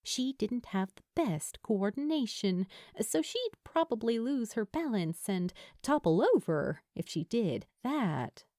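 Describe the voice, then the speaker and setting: A woman reading a scripted story as narrator in an expressive storytelling style. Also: on the high side, takes it slowly, few pauses, no echo, studio quality. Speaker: fan fiction narrator.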